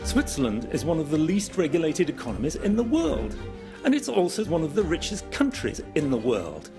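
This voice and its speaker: A man's voice: a little high, wildly swooping pitch, normal speed. A man making emphatic, declarative points in a documentary interview. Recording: documentary clip with loud music behind.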